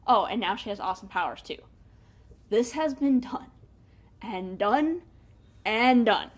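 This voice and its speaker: A woman hosting a book review in a casual, chatty way, with animated emphasis and wry asides. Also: medium pitch, unhurried. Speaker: book review show host.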